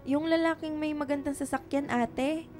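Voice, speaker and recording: A woman's voice: sits high, fairly even intonation, moderate pace, a little mellow, natural voice. A Filipino woman playing a polite, curious young schoolgirl in a radio drama. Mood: eager. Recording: music behind the dialogue.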